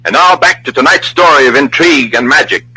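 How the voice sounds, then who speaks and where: A man's voice: mid-range voice, animated, a little fast, rough recording. A man announcing a scripted public service message in emphatic, declamatory 1940s radio style.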